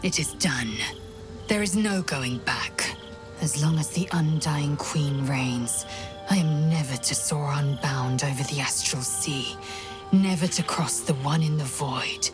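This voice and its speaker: Fantasy game lead: fierce alien female fighter, grave and intense, deliberate delivery, music underneath. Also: deep voice. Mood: bitter, resigned. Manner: solemn, formal, intense. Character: proud fierce githyanki warrior woman, solemn, embittered, resolute. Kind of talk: dramatic video game acting.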